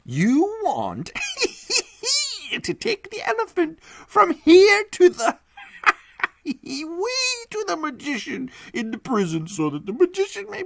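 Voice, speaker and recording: A man's voice: gravelly, a bit bright, dry recording, exaggerated voice. A man voicing a small, bent old man who laughs helplessly through his words. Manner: shrill, giggling through words.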